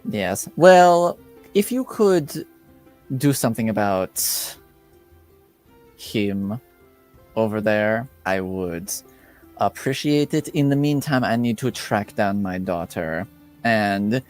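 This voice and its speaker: A man acts out a composed, courteous father in a tabletop roleplaying game. He speaks very slowly and formally in a lowish voice, with dry restraint. Soft music sits behind him. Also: animated. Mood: politely pressing. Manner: measured, formal, deliberate.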